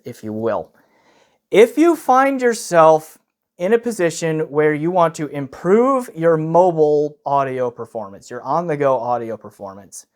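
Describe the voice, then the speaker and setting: Male online reviewer, slightly high voice, conversational and explanatory, talking off the cuff. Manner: conversational, explanatory, often checks in with "okay?" and "right?". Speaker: audio gear reviewer, video host. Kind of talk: casual unscripted product review.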